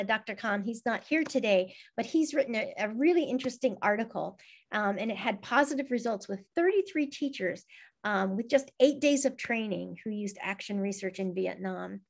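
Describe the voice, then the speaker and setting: A woman's voice: mid-range voice, gravelly. A middle-aged woman giving an online teacher-training talk over a video call, conversational and encouraging, often checking in with "right?". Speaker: workshop presenter.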